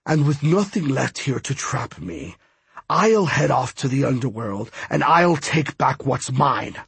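A man voicing a menacing, gloating serpent god of death, taunting and deliberate in manner. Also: mid-range voice, lively intonation, creaky, fairly bright tone, breathy edge, no room sound, full character voice.

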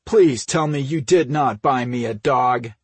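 Man voicing an exasperated, husky little brother. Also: on the high side, normal speed, dry recording, pitched above the performer's own voice. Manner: grumbling, emphatic, exasperated. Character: exasperated, grumbling adult younger brother.

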